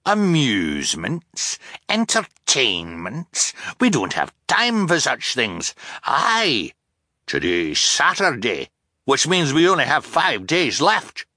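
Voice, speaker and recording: A man performs a blustering submarine captain from a storybook. The voice leaps around in pitch with a hard, forceful edge, throwing out urgent, repeated exclamations in frantic bursts. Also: huge pitch swings, slow, no room sound, clean studio sound, full character voice. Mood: indignant. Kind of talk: audiobook character voice.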